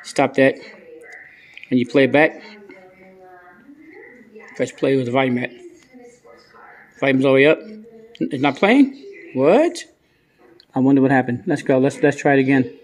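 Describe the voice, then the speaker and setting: A man's voice: medium pitch, normal speed, pauses often, darkish tone. A man hosting a casual gadget review vlog, speaking in slangy phrases and often repeating his words. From United States. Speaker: gadget reviewer and video host.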